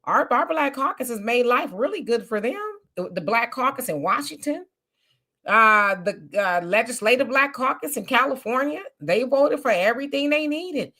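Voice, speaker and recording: Bright-voiced woman hosting a live chat. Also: on the high side, expressive, moderate pace. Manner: reads viewer comments aloud and answers them; emphatic, conversational. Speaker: host of a live discussion. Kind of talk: casual live-stream commentary.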